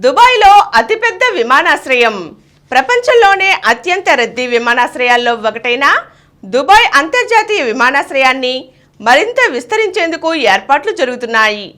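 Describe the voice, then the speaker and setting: A woman's voice: high voice, very animated, a little fast, fairly bright tone. A woman reading a scripted news bulletin. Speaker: news reader.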